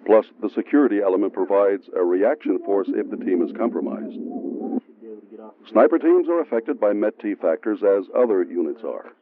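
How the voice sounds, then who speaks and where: A man giving steady, measured scripted narration for a military training film. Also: lowish voice. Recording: tinny phone-like sound from an old training film, faint music.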